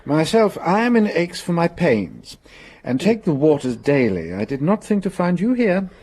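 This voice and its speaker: A man's voice: a little high, big pitch swings, darkish tone. Dry, urbane male detective. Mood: mildly surprised. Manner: dry, teasing, measured. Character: shrewd, wry great detective, unhurried and urbane. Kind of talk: radio drama dialogue.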